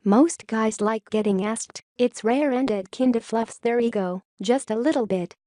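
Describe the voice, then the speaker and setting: A woman reading forum posts aloud in a steady, even reading voice. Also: on the high side, unhurried, no echo, studio quality.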